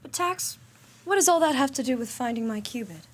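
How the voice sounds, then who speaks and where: An anxious, impatient client. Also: female voice, high voice, expressive, brisk. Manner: pleading, impatient. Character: anxious female client hunting a missing man.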